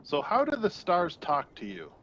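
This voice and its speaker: A man's voice: high-pitched, wildly swooping pitch, normal speed, a bit bright, slight background noise. A man roleplaying casually in a tabletop game with simple, naive phrasing, recorded on a dull lo-fi microphone.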